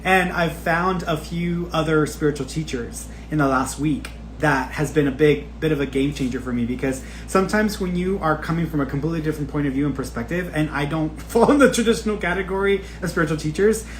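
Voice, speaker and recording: A man's voice: on the high side, a little fast, hardly any pauses, slight background noise. A man talking casually and candidly as a spiritual teacher on a live stream, rambling informally, cursing freely and expressively acting out quoted remarks. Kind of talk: casual, rambling personal talk to online followers.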